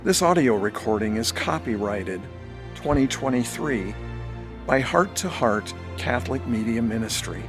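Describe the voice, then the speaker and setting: A man's voice: medium pitch, lively intonation, a little slow. A man reading a scripted closing announcement as announcer for a religious audiobook, with music behind him. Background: clear music.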